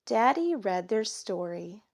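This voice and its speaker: A woman reading a children's story aloud in an expressive, measured storytelling manner. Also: medium pitch, creaky. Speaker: storybook reader. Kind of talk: children's story read aloud, scripted narration.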